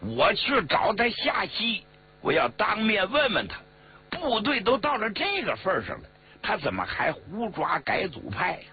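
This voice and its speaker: A man voicing a blunt, furious army commander in a loud, demanding, indignant way within a told story. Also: sits high, huge pitch swings, normal speed, pitched above the performer's own voice, full character voice. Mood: angry, indignant. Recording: muffled radio-like recording with mains hum.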